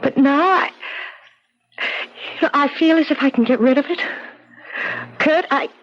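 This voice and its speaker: An actress playing a frightened, fragile grown daughter haunted by childhood fear, emotional and halting, in a radio drama. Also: female voice, sits high, faint music behind. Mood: reflective, hopeful. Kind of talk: 1970s radio drama acting. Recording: dull 1970s radio recording.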